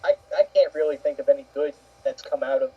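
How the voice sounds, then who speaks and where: A man co-hosting a casual chat in a loose, slightly halting way, on a muffled recording. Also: on the high side, husky. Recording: dull, muffled lo-fi audio.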